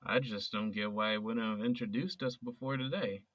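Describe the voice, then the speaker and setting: A man's voice: lowish voice, steady tone, normal speed, a bit bright, dry recording. An actor playing a sincere young male police officer who talks casually and earnestly with his best friend. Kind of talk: audio drama acting. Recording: dull, dry, lo-fi recording.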